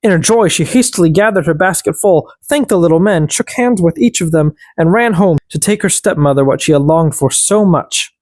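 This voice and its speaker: An audiobook narrator reading a fairy tale as expressive scripted narration. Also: mid-range voice, fairly quick, hardly any pauses, dry recording.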